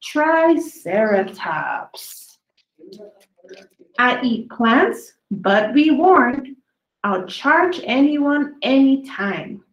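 A woman reading a children's book of dinosaur poems aloud, drawing out her words deliberately for young listeners in a small room. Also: high-pitched, very animated, roomy sound, lo-fi sound. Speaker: children's story reader.

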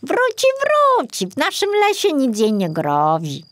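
A protective little forest gnome from a children's tale, put on by a woman. He sounds fussy and knowing, pitched high, with animated swoops in his speech. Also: a little slow, dry recording, full character voice. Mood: reassuring.

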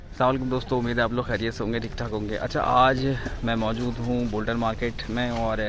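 A man's voice: mid-range voice, flat delivery, fast talker, fairly bright tone. A man, a vlog host who walks through a market talking directly to viewers and questions a shopkeeper. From Pakistan. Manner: friendly, addresses viewers directly. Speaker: vlogger host. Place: busy market outdoors and in a shop.

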